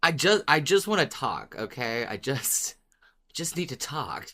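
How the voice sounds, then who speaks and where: A podcast co-host chatting casually about fiction in an excitable, emphatic way, often saying "like". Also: mid-range voice, measured pace. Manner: excitable, emphatic, peppers talk with "like". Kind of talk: casual fan discussion chat.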